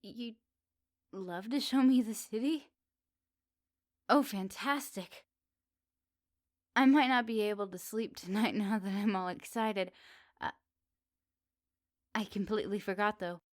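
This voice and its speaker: A woman plays a lonely, flirty witch of the woods in an ASMR roleplay. She speaks softly and unhurriedly, teasing and kind, at a medium pitch. The sound is close and dry, as if for one listener. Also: unhurried, pauses often, no room sound, natural voice. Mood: excited. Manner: soft, teasing, cozy delivery to one listener. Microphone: close dry microphone.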